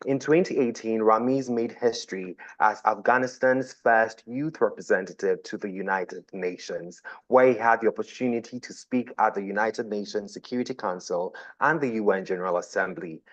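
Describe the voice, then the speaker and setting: A man's voice: on the low side, normal speed, hardly any pauses, muffled recording. A man hosting a conference, reading a formal speaker introduction and stumbling over a few names. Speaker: conference host.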